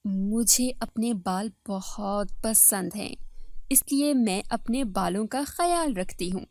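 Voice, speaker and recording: A woman's voice: medium pitch, slow pace, a little mellow, no echo. A woman voicing a vain, haughty young second wife, proud of her looks, in a dramatized story. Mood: smug.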